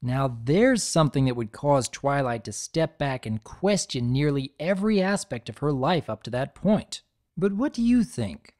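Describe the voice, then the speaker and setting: A man's voice: fairly high pitch, a little mellow. A man narrating and commenting from a script as host, in a theatrical storyteller's manner. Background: background music.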